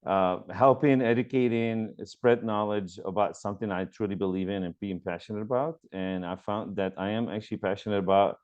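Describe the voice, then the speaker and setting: A man's voice: fairly low pitch, fairly even intonation, a little fast, few pauses, darkish tone, highs cut off. A man from Lebanon, a mortgage broker guest, answering interview questions earnestly with many ums and uhs over a remote call. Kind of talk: conversational interview.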